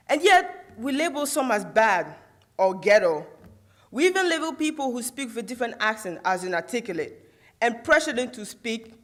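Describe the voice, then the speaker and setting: A woman's voice: on the high side, a bit bright. Young woman from Côte d'Ivoire, first language Guro, student orator. Prepared speech on a theatre stage, rhetorical, asks the audience questions. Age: young adult.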